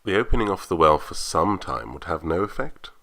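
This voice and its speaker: A man playing a formal coroner who questions witnesses in a measured voice, in an audio drama. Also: sits low, very animated, vocal fry, pushed and bright, full character voice. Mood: formal, probing. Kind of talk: scripted audio drama acting.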